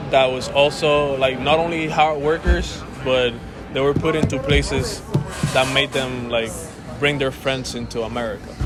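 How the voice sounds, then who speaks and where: A young man born and raised in Cuba, a musician talking casually as a guest, full of restarts and calling the host bro. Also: a little high. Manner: casual, says bro a lot, rambling restarts. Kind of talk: casual interview chat.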